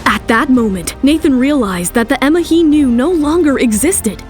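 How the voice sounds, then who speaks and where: A woman's voice: high-pitched, animated, moderate pace, faint music behind. A woman narrator giving a scripted, dramatic telling of a story.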